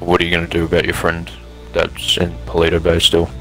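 A man playing a gruff, guarded survivor camp boss in a video game roleplay, speaking bluntly and matter-of-factly. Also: low-pitched, completely flat delivery, brisk, fairly bright tone, soft background music, low-quality recording. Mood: guarded, probing. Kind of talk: improvised roleplay acting in a video game skit.

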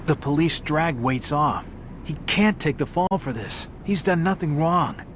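A man's voice: a little high, expressive, a little slow, slight background noise. An actor playing a troubled, earnest male investigator, an outsider in town, in a video game. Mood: urgent protest. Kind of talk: video game cutscene acting.

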